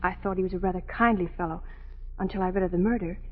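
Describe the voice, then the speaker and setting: Breathy young opera singer, old radio. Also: female voice, lowish voice, fairly even intonation, quick pace. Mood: earnest, puzzled. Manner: sweet, polite, hesitant. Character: gentle, flustered young female opera singer. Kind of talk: 1940s radio drama acting. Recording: muffled, reverberant old radio broadcast.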